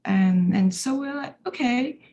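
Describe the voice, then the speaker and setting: A woman's voice: a little high, measured pace, dark tone, lo-fi sound. Woman sharing a personal story. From Hong Kong. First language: Chinese. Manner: candid, storytelling, light self-deprecating humor. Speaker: graduating student speaker. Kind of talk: personal reflection talk.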